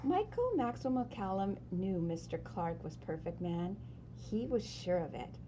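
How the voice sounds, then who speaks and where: A woman reads a children's story aloud expressively, with dramatic emphasis and pauses. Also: medium pitch, expressive, background noise. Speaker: storyteller reading a picture book aloud.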